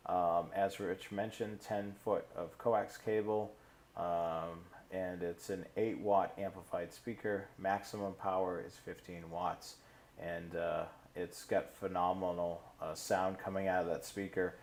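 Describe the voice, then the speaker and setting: Man, low-pitched voice, live-stream co-host, casual presenting, halting informal delivery. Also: little pitch movement, darkish tone.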